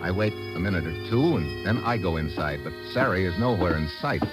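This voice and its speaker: A hard-boiled American agent with a lowish, very animated voice. He is sardonic and slangy in old radio drama style, with loud music behind. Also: brisk. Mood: businesslike. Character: wry, tough American agent on assignment. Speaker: lead actor and narrator in a radio drama.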